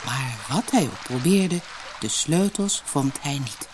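Low-voiced woman reading fairy tales expressively. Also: lively intonation, noisy background. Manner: dramatic, measured storybook delivery. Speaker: storyteller reading a fairy tale audiobook. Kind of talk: expressive scripted fairy tale narration.

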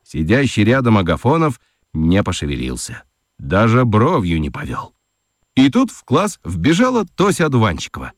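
A man narrating a children's radio play as an expressive, dramatic storyteller. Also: fairly low pitch, moderate pace, no room sound, studio quality.

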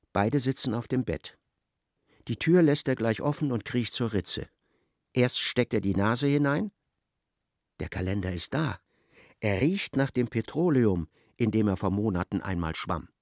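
A man's voice: lowish voice, vocal fry, a little mellow, dry recording, clean studio sound. A man acting as the detached narrator of a grim literary radio drama, telling it in a matter-of-fact way.

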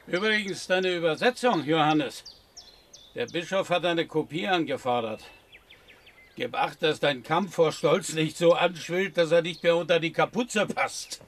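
A man acting a high-voiced monastic superior. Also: measured pace, lightly acted. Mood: wry warning. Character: admonishing monastic superior, wry. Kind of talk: radio drama acting.

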